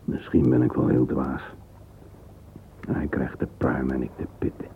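An actor playing a wistful middle-aged man lost in thought, murmuring a halting interior monologue in a radio play. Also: very deep voice, flat delivery, gravelly, exaggerated voice. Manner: murmuring, halting, fragmentary musing, sometimes whispered. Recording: narrow-band lo-fi old radio recording, hissy.

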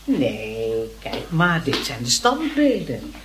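A woman's voice: very deep voice, wildly swooping pitch, takes it slowly, slight background noise, heavily acted. A woman playing a kindly, fussing aunt in a radio play. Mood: patient. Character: kindly, fussing aunt looking after her young nephew.

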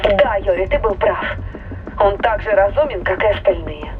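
An actress playing a wary, insistent spaceship crew member in an audio drama, earnest and analytical, heard through a radio intercom filter. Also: female voice, medium pitch, moderate pace, phone-quality sound. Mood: earnest, conceding. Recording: band-limited radio voice over music.

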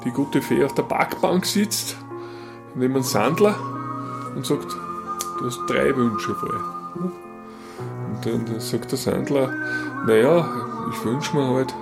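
A man with an Austrian accent tells a joke casually in a gravelly, middle-pitched voice. He stumbles along, repeating words and checking in with short questions. Music plays clearly behind him. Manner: halting, repeats words, tags sentences with a questioning "nicht". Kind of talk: casual spoken joke-telling.

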